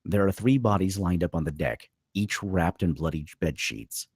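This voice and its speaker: Very deep husky male script narrator. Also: normal speed, darkish tone, no room sound, studio quality. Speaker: narrator reading screenplay directions. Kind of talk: scripted narration at a film table read.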